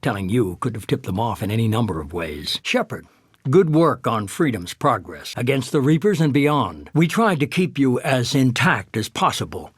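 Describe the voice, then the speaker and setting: An actor playing a cold, calculating middle-aged leader of a shadowy organization in a video game, speaking in a measured, authoritative way. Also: male voice, medium pitch, very animated, breathy edge, no echo, studio quality. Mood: controlled, pragmatic. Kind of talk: scripted video game dialogue.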